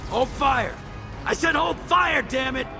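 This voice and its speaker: An actor playing a cocky, threatening mercenary squad leader in a video game, taunting and tough-talking. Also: male voice, very high voice, slow, over music. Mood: shouted command.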